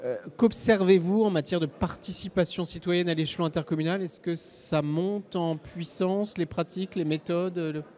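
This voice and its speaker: A man's voice: sits high, normal speed, hardly any pauses, a little mellow. A man moderating a live panel debate, putting questions to the speakers in an unscripted way.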